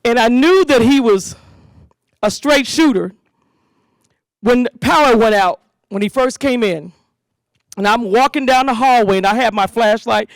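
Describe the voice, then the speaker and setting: A woman hosting a school community safety event, giving an animated speech to parents and leading call-and-response chants. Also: fairly high pitch, a little slow, long pauses, no echo, rough recording.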